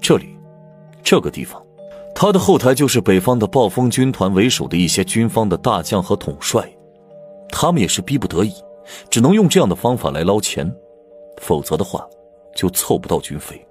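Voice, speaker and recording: An actor playing a grave, troubled imperial prince in an audiobook drama, speaking candidly with measured emphasis. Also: male voice, lowish voice, sing-song, darkish tone, soft background music. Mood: weary, confiding.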